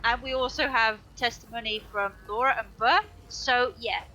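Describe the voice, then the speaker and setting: A woman co-hosting a charity game stream, chatting in an animated way and acting out quoted lines. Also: moderate pace, rough recording. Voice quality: higher-pitched than she expects herself to sound. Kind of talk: casual chat while playing a game.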